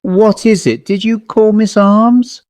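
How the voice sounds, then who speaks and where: A kindly but anxious landlady in a storybook ghost tale, played by a male reader. The voice is strained and lifted in pitch, with a dry, close sound. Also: steady tone, slow pace, darkish tone, smooth, dry recording.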